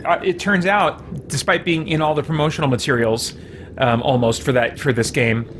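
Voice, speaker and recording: A man's voice: fairly high pitch, fairly even intonation, normal speed, noisy background. A man giving casual livestream gameplay commentary, rambling along, often correcting himself and poking fun at himself. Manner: rambling, self-correcting, self-deprecating. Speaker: game streamer and host.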